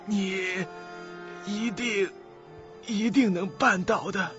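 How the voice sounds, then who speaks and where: Frail, very slow dying old man. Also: high voice, fairly bright tone. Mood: desperate, insistent. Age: elderly. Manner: halting, weak, laboured speech. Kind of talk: Mandarin radio drama acting. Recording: dull lo-fi audio with faint music.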